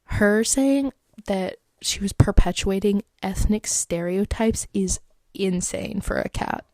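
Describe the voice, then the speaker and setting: A woman's voice: medium pitch, slow, a little mellow, no room sound. A woman with a congested, stuffed-up voice hosting a solo podcast, chatting in a rambling way and reading advice posts aloud. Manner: chatty and rambling, many likes and fillers. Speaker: podcast host.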